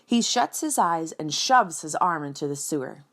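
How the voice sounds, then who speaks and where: A woman reading a picture book aloud for children in an expressive storytelling style, speaking directly and simply to young listeners with dramatic emphasis. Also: sits low, normal speed. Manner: dramatic emphasis, acts out sound words. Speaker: children's read-aloud reader.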